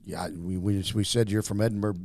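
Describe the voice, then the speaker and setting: A man's voice: fairly low pitch, steady tone, brisk, a little mellow, dry recording, studio quality. A man hosting a casual interview, rambling and repeating words in a friendly way. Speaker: host.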